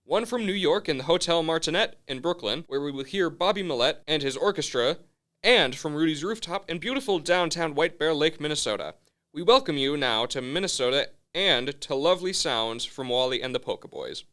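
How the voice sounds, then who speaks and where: A man's voice: fairly high pitch, expressive, brisk, a bit bright. A man playing a 1930s radio show announcer in an old-time presenting style. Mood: welcoming.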